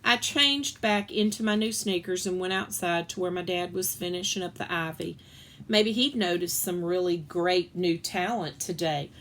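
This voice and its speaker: A woman reading a children's book aloud as a storyteller, expressive and acting out the dialogue. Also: fairly low pitch, moderate pace, a little hiss or hum.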